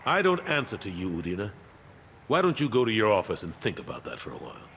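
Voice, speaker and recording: Give this game character the voice of a principled older soldier turned statesman. He speaks firmly and plainly in a fairly low voice. His intonation moves a lot, with faint noise behind it. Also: wildly swooping pitch, fast, gravelly, fairly bright tone, heavily acted. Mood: indignant. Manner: firm, plain-spoken. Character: gruff, principled older military man turned politician. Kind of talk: video game dialogue acting.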